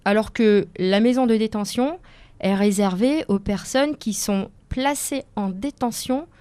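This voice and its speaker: A woman's voice: medium pitch, unhurried, warm tone, no room sound. A woman in her forties, native Italian speaker, answering interview questions as a lawyer guest on the radio, hesitant with many fillers and careful phrasing. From France, Seine-et-Marne. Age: 40s.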